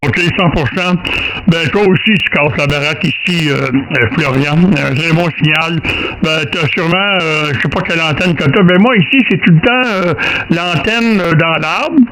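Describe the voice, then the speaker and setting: A man's voice: on the high side, normal speed, a bit bright. A man with a Quebec French accent, chatting casually and in a rambling, colloquial way as an amateur radio operator. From Quebec, Canada. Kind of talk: casual radio contact chat. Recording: clearly noisy amateur radio contact recording.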